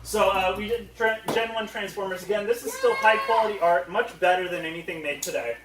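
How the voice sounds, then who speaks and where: A man with a high-pitched voice gives a casual comic mock lecture as a panel co-presenter. He is sarcastic while pretending to be serious. The room echoes and he sounds a little way from the microphone. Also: a little fast. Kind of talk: casual comedic mock lecture to a live audience. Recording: roomy echo, picked up from a distance.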